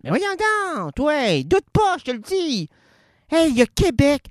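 A man's voice: very high voice, huge pitch swings, unhurried, no echo, clean studio sound. A man with a Québécois French accent doing a rambling, playful comic radio monologue. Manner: playful, rambling, swings between loud and quiet.

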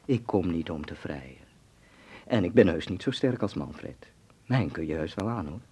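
An actor playing a quiet, knowing, coaxing friend in a radio drama, speaking in an intimate way. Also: male voice, sits low, animated, normal speed, husky.

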